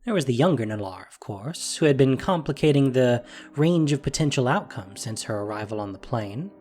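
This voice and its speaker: Male narrator, animated pitch, dramatic storytelling, scripted fiction, faint music behind. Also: moderate pace.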